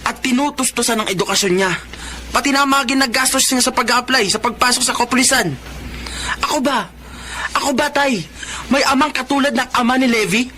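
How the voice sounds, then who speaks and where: High-pitched, earnest young Filipino son. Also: male voice, a little fast, bright, forceful tone, slight background noise. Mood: resentful, pleading. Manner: emphatic, emotional delivery. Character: earnest, hurt young adult son training as policeman. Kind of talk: Filipino radio drama acting.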